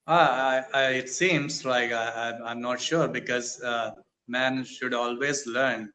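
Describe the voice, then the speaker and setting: A man's voice: medium pitch, fairly even intonation, unhurried, bright, forceful tone. Hesitant man from India, Hindi native. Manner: hesitant, many fillers and restarts, tag questions. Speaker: student on a language app. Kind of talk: casual video-call conversation. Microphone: video call.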